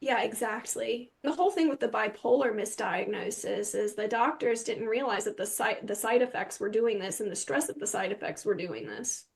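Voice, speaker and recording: A woman's voice: fairly high pitch, normal speed, creaky, few pauses, husky. A woman interview guest telling her personal story in a candid, informal way, peppering it with "like". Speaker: podcast guest sharing personal experience.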